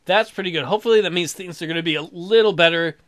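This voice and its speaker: A man's voice: sits high, fast, a bit bright. A man from Louisiana hosting a let's play, giving casual, rambling comic commentary on the game. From Louisiana, United States. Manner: joking, rambling. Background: music.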